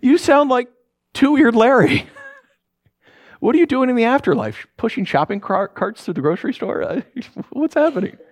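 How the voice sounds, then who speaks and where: Man acting a skeptical everyman with lively pitch. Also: normal speed. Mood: mocking. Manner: hedging, argumentative. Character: skeptical, dismissive everyman, grumbling and easily rattled.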